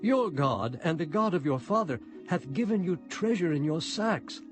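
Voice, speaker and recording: An actor playing a reassuring household steward in a dramatized scripture reading, with a soothing, formal delivery. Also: male voice, on the high side, animated, moderate pace, lightly acted. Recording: music behind the voice.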